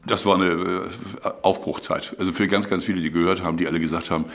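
A man's voice: sits low, fairly even intonation, fast talker, fairly bright tone. A middle-aged or older man, a radio veteran, speaking colloquially and emphatically in an interview. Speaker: long-serving radio staffer being interviewed. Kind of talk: spontaneous interview reminiscence.

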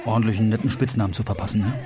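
A man's voice: lowish voice, steady tone, racing, crowd noise, close to an everyday voice. An actor playing a patient policeman questioning a child in a naturalistic radio play, with a probing, slightly hesitant manner. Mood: puzzled, insistent.

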